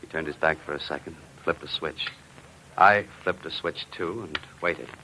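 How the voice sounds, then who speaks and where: A man's voice: extremely low pitch, moderate pace, heavily acted. An actor playing a cool-headed, wry amateur sleuth who narrates his own adventure in a vintage radio drama. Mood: tense narration. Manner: wry, deadpan, unruffled. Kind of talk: 1940s-50s radio detective acting and narration. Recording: noisy vintage radio recording.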